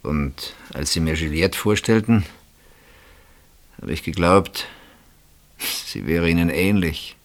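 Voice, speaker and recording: Radio play: regretful, hesitant husband, deep register, intimate and halting. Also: male voice. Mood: regretful, uneasy. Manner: hesitant, halting, reflective, repeats words. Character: wistful married gentleman named Pierre, hesitant and regretful.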